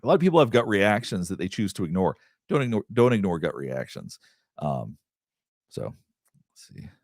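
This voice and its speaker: Deep-voiced man, therapist answering live questions, rambling with restarts, dry close sound. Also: very animated, normal speed, dry recording. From Southeastern United States. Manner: rambling, many restarts and fillers, candid. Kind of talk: casual livestream chat answering viewer questions.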